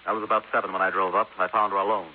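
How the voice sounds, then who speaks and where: An actor playing a brusque, impatient American physician with a curt, authoritative manner in 1950s radio drama. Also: male voice, sits low, flat delivery, very fast, close to an everyday voice. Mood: somber explaining. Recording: hissy, narrow-band 1950s radio recording.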